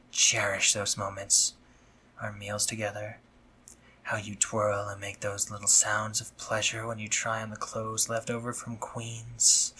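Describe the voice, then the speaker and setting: A man playing an anxious, awkwardly tender spider-monster medic in a scripted romantic audio roleplay, speaking formally and haltingly with a breathy, slightly husky voice. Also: medium pitch, bright, forceful tone, exaggerated voice. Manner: formal, stammering, halting when flustered.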